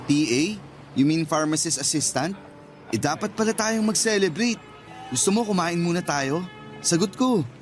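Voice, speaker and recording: A man's voice: fairly high pitch, expressive, normal speed, soft background music, lightly acted. A Filipino man acting a playful, affectionate young boyfriend in a radio romance drama, teasing and earnest. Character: sweet-talking, playful young boyfriend promising marriage.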